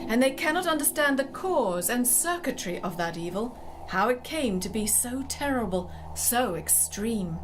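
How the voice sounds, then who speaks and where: A woman playing a wise, cryptic sorceress mentor in a theatrical drama, measured, poetic and instructive. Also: on the high side, lively intonation, noisy background, natural voice. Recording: music under the voice.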